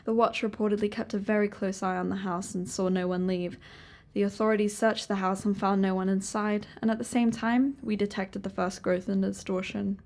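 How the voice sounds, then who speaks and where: Woman playing a calm, measured otherworldly scout. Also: mid-range voice, close to an everyday voice. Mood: serious, measured. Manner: measured, formal, detached. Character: Shield, calm otherworldly female sensing partner. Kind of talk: audio drama acting.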